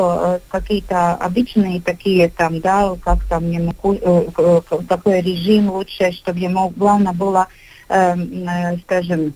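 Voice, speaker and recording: A middle-aged woman, a kindergarten director, speaking on a radio discussion in a halting way, with many filler sounds. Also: mid-range voice, darkish tone, low-quality recording. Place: radio studio.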